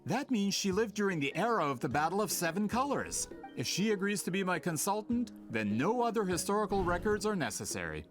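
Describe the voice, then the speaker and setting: An actor playing a self-important, impatient male scholar and publisher in a video game, smooth-talking and insistent. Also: sits high, very animated, a little fast, few pauses, music in the background. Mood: insistent persuading.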